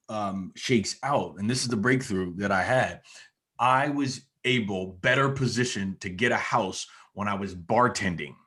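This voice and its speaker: A man's voice: lowish voice, a bit bright. A man from Florida hosting a podcast, chatting casually, laughing often and turning to address listeners. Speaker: podcast host. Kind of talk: casual interview chat.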